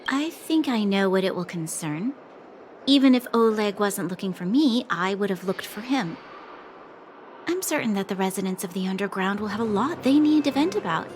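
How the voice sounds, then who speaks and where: A woman's voice: medium pitch, lively intonation, normal speed, a little hiss or hum, natural voice. A woman playing an earnest, dutiful young military officer in a video game, speaking formally with reserved precision. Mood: composed, resolute. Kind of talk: video game character acting. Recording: background music under the voice.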